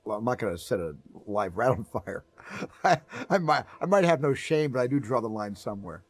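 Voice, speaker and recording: A man's voice: medium pitch, lively intonation, fairly quick, dry recording. A filmmaker in an interview, wry and self-mocking as he tells stories from the set. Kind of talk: interview anecdote.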